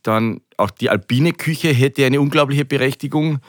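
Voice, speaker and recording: A man's voice: medium pitch, moderate pace, dry recording, studio quality. A middle-aged man with an Austrian accent talking conversationally as an interview guest, often hesitating and repeating words as he searches for phrasing. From Carinthia, Austria.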